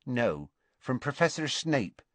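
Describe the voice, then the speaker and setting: A man voicing a young schoolgirl who passes on a message in a hesitant, halting way, in a storybook reading. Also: mid-range voice, big pitch swings, dry recording, pitched above the performer's own voice. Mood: hesitant, apologetic. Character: young schoolgirl teammate delivering an awkward message.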